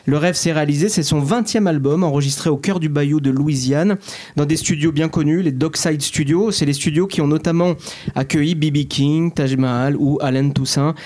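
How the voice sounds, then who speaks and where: A man's voice: fairly high pitch, moderate pace, a little mellow. A man hosting a music show, introducing a record and then questioning a guest with many hesitations and fillers. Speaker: radio host. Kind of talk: presenting music between songs.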